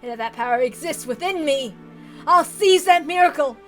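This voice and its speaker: Woman acting a ranting, high-voiced deranged old patriarch. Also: lively intonation, faint music behind. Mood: grave, fervent. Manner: theatrical howling rants, drawn-out pleading. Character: deranged, tyrannical old patriarch, obsessed with lost love.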